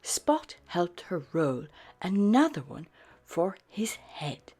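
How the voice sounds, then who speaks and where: A woman reading a children's picture book aloud in a gentle, soft narration. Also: fairly low pitch, very animated, takes it slowly. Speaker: storyteller.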